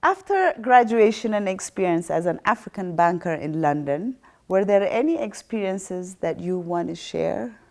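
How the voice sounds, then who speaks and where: A woman's voice: mid-range voice, big pitch swings, moderate pace, darkish tone. A woman hosting a talk show interview, friendly and encouraging with her guest.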